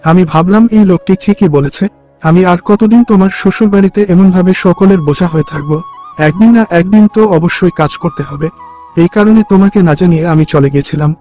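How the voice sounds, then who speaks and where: A man's voice: high voice, brisk, mellow, soft background music, natural voice. A man voicing an earnest, devoted young orphan brother in a cartoon story. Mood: grateful, reassuring.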